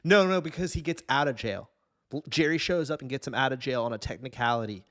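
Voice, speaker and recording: A man's voice: medium pitch, fast, fairly bright tone, no echo, studio quality. A man hosting a podcast, chatting casually and informally about film and TV. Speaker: podcast host.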